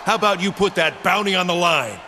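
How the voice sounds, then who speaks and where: Blunt, animated tough-guy wrestler. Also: high-pitched, brisk. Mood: defiant. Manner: blunt, challenging. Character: confrontational, tough challenger wrestler. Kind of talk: video game cutscene acting. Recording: clear background noise.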